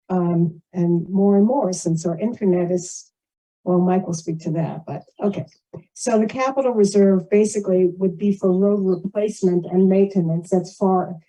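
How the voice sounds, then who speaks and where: Woman, very dark mellow tone, mid pitch, informal meeting report, plain-spoken, rambling asides. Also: low-quality recording. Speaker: board member giving a financial report. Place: community meeting shared over video call.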